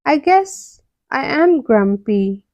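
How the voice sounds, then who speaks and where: Female reader as grumpy male storybook monkey, stubborn, indignant, insistent, unhurried delivery. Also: sits high, big pitch swings, a little mellow, dry recording, highs cut off, voice pushed up, exaggerated voice. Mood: resigned, sulky.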